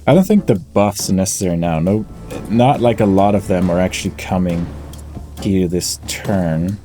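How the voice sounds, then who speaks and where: A man giving casual let's play commentary, thinking aloud in a deliberate, low-key way. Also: warm tone. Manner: deliberate, low-key, talks through tactics. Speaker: gaming YouTuber. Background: game music.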